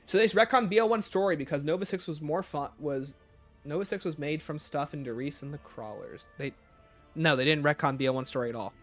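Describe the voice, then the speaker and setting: A man's voice: fairly high pitch, fairly quick, faint music behind. A man hosting a livestream, chatting casually as he takes viewer questions and answers them offhand. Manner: reads questions aloud, then answers offhand. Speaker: livestream host.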